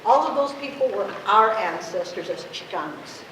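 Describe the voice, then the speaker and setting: A woman's voice: medium pitch, lively intonation, normal speed, fairly bright tone, recorded from a distance. A woman in her fifties, a Spanish speaker, gives an impassioned, conversational public talk as an activist, often posing rhetorical questions. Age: 50s. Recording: echoey room from afar, clear noise.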